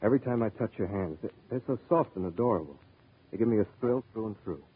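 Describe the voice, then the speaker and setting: An actor playing an adoring, smitten sweetheart in an old radio advertisement, tender and gushing in a husky voice. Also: male voice, on the low side, animated, fast, slight background noise, lightly acted. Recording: muffled old radio recording.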